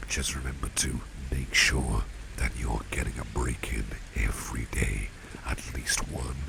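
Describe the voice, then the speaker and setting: Guy doing kindly posh-mannered boss for asmr, super low croaky half-whisper, steady ambient noise behind him. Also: full character voice. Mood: caring, encouraging. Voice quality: very deep, gravelly, breathy half-whisper. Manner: genteel, unhurried, repeats phrases for emphasis. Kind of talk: ASMR roleplay, soft-spoken personal attention.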